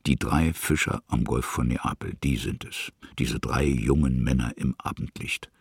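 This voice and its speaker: A man with a gravelly, breathy voice, narrating a literary radio play in a musing, halting manner that sometimes sinks to a hush. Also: very deep voice, dry recording, studio quality. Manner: musing, halting, hushed at times.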